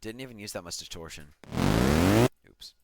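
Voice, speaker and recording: Deep-voiced man in casual music breakdown. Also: animated, moderate pace. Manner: offhand, self-deprecating. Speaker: electronic music producer. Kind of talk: casual track breakdown commentary. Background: music from the track being played.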